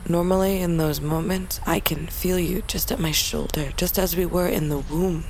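An actress playing a grieving, fierce but lonely young princess of a wolf tribe in a fantasy audio drama, speaking in a solemn, intimate, halting way. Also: female voice, fairly low pitch, steady tone, darkish tone, slight background noise, close to an everyday voice. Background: music.

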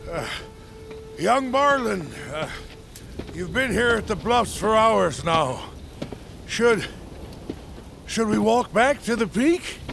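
Timid attendant, high creaky voice. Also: male voice, lively intonation, slow pace, long pauses, exaggerated voice. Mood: nervous, cautious. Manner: hesitant, tentative. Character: timid, deferential attendant to a young leader. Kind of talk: cinematic fantasy audio drama acting. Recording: music clearly underneath.

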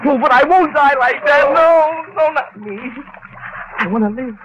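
A man's voice: extremely high pitch, normal speed. An actor playing a frightened, simple-minded henchman on the run, pleading and stammering in broken grammar, in old-time radio drama style. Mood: terrified. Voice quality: whimpering, stammering, ungrammatical pleading. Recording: hissy, muffled vintage radio recording.

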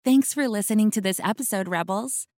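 A woman's voice: medium pitch, a little fast, darkish tone, dry recording, studio quality. A woman giving a friendly, upbeat scripted podcast outro to young listeners. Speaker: podcast announcer.

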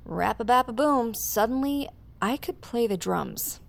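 Frank, gravelly-edged young woman. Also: on the high side, animated, measured pace. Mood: animated storytelling. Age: 20s. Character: frank, quirky twenty-eight-year-old woman, a drummer. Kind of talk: naturalistic audio drama dialogue.

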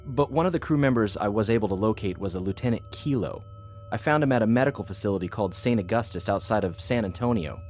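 Low-voiced earnest intelligence analyst played by a man. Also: lively intonation, fast talker, darkish tone, soft background music, natural voice. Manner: earnest, thorough, formal briefing delivery. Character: eager, deferential male intelligence analyst reporting to superior. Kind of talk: audio drama acting.

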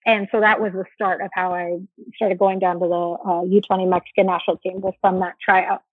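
A young adult woman from the United States, talking casually as an interview guest, relaxed and chatty with many fillers and restarts. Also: fairly low pitch, brisk, breathy edge, no echo. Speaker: interview guest, former soccer player. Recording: dry tinny phone-like line.

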